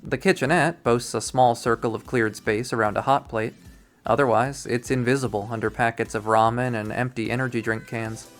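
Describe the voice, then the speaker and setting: A man reading a visual novel aloud as its first-person narrator, in an expressive storytelling style. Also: medium pitch, normal speed, faint music behind.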